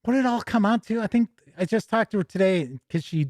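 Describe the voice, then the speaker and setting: A man hosting a tabletop gaming livestream, chatting casually with viewers in a folksy, jokey, animated way. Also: high-pitched, quick pace, dry recording, studio quality.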